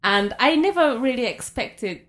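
A woman's voice: a little high, animated, unhurried. A woman from Japan, a native Japanese speaker talking in English, chatting as a podcast guest in a frank, giggly way. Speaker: guest, waxing salon owner. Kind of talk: casual interview chat. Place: host's apartment.

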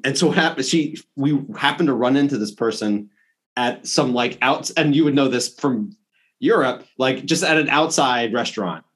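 A man hosting a casual interview, asking questions and telling a story with relaxed hesitations and restarts. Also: mid-range voice. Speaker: interviewer host.

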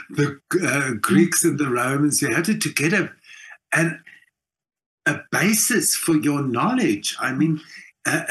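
A man's voice: medium pitch, pushed and bright. A man in his sixties with a South African accent, speaking reflectively and emphatically in a conversational interview. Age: 60s. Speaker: interview guest, writer and performer.

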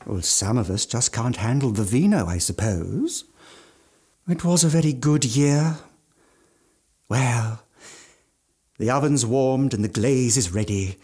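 An actor playing an eccentric, aging gourmand mountaineer with ghoulish relish and fussy, old-fashioned genteel speech in a radio drama. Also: male voice, mid-range voice, slow pace, long pauses, warm tone, lightly acted. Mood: sly, genteel. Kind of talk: theatrical radio drama monologue.

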